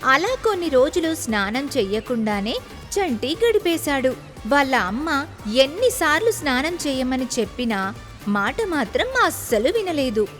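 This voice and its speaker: A woman narrating scripted moral stories for children as a storyteller. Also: high-pitched.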